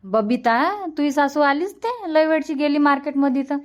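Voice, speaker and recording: A woman's voice: sits high, normal speed, lightly acted. A woman voicing a reassuring grandmother in a village family cartoon, with a colloquial Vidarbha Marathi accent. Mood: matter-of-fact. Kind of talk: cartoon comedy dubbing in rural dialect.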